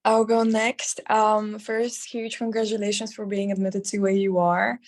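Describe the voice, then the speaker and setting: A woman's voice: mid-range voice, level tone, measured pace, darkish tone. A young woman student from Bulgaria, raised in London, giving a friendly, composed welcome.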